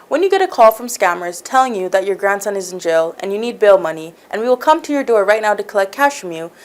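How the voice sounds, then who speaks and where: A woman host reading scripted community announcements at a measured pace. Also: medium pitch. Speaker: show host.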